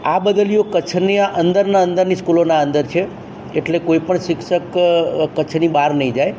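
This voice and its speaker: A man's voice: fairly high pitch, steady tone, normal speed, dark tone. A man explains things plainly and repetitively as a district education officer in an interview statement. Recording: dull, noisy outdoor-like field recording.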